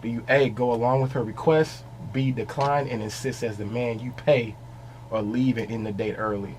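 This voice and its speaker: A young man co-hosting a podcast, upbeat and animated as he asks his guest questions. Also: medium pitch, moderate pace, slight background noise, rough recording. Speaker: podcast co-host. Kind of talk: casual podcast interview. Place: small podcast studio with wall panels. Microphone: studio mic on arm, wearing headphones.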